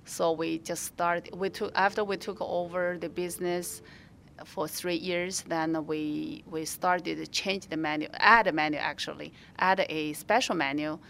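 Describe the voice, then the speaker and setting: A woman's voice: fairly low pitch, steady tone, unhurried, vocal fry, a bit bright, a little hiss or hum. A woman with a Mandarin Chinese accent, speaking English as a second language while answering interview questions as a restaurant owner, with many fillers and restarts.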